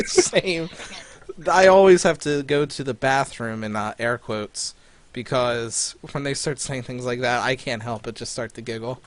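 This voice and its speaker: A man's voice: medium pitch, unhurried. A man co-hosting a podcast over group voice chat, talking casually and joking around. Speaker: podcast co-host. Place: voice chat for a live online show.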